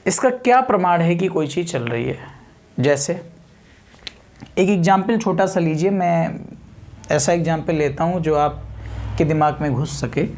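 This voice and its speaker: A man's voice: a little high, lively intonation, measured pace, pauses often, a little mellow, slight background noise. Man teaching like a coaching instructor. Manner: explanatory, repeats key terms and poses rhetorical questions; code-switches into English technical terms. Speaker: physics teacher. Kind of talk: exam coaching lecture with everyday examples.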